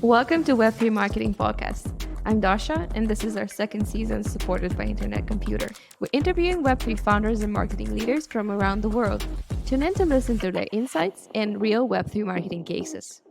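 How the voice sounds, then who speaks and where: A woman's voice: mid-range voice, very animated, fairly quick, gravelly, over music. A woman hosting a podcast interview, speaking in an upbeat, welcoming way. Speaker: podcast host.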